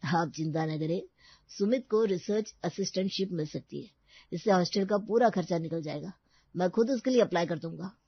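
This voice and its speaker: A courteous male professor from a cartoon story, voiced by a woman, formal and reassuring. The voice is fairly low and recorded very dry. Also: moderate pace, breathy edge, dry recording, lo-fi sound.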